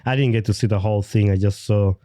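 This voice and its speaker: A man hosting an interview podcast, supportive and chatty, with frequent short affirmations and halting restarts. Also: fairly low pitch, fairly even intonation, warm tone, no room sound, clean studio sound. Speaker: podcast host. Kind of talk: casual interview chat.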